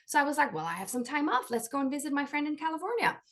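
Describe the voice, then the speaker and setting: Woman, from Norway, interview guest, chatty, lots of fillers, fairly high voice. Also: very animated, rapid-fire, fairly bright tone. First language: Norwegian. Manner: chatty, many "like" fillers, laughs often. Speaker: guest, life coach and author. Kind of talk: casual interview storytelling.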